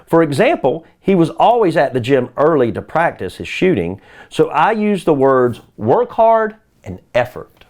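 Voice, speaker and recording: A competitive young girl from a children's storybook, acted out by a man. She is proud, earnest and insistent. The pitch is lifted and swings widely. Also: big pitch swings, measured pace, a little mellow.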